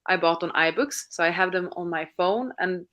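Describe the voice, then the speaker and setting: A woman with a fairly low voice chats casually over a video call, friendly and talkative as she draws the others into the conversation. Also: moderate pace, a bit bright. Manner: chatty and friendly, asks the others questions. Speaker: co-host of a live reading stream. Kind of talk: casual chat.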